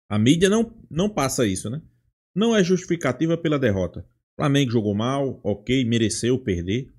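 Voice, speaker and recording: A man with a Brazilian accent giving casual football fan commentary in a halting way, often adding tag questions. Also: mid-range voice, lively intonation, a little mellow, slightly rough. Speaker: football channel host.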